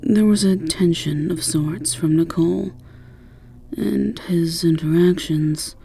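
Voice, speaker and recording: A woman's voice: fairly low pitch, slow, vocal fry, warm tone, soft background music. A woman narrating a scripted fantasy roleplay in the second person, with a wry storyteller's delivery. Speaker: narrator and voice actor.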